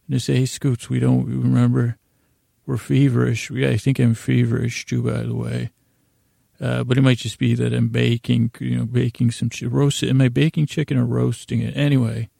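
A man hosting a bedtime podcast in a meandering monologue, mumbling and stumbling with long pauses. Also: medium pitch, flat delivery, gravelly, dark tone, no room sound, clean studio sound. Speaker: podcast host.

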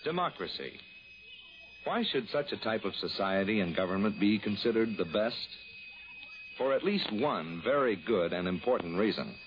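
Announcer: male, lowish and solemn, strongly modulated declamatory delivery, muffled old radio recording. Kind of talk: scripted 1960s public-service radio narration.

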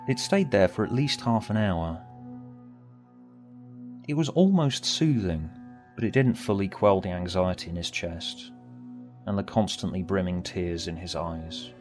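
A man's voice: deep voice, expressive, a little slow, pauses often, a little mellow. A man reading a scripted story aloud as its narrator, with music behind him. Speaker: author and narrator.

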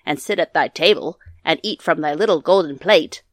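An enchanted frog from a storybook, acted out by a woman, declaims in formal, archaic phrases. He is insistent and pleading, projecting loudly in a very dry recording. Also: sits low, normal speed, dry recording. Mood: bargaining. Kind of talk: storybook character voice.

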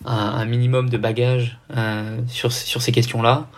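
A man's voice: medium pitch, flat delivery, a little slow. A young man from the south of France with a neutral French accent, talking casually and explaining things in a hesitant way as a podcast guest. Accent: neutral French, no regional accent. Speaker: podcast guest, amateur triathlete. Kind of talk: casual interview conversation.

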